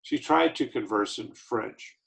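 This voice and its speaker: A man reading a memoir aloud, slow and measured with small slips. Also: mid-range voice, vocal fry, fairly bright tone, slightly rough. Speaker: reader of an autobiography.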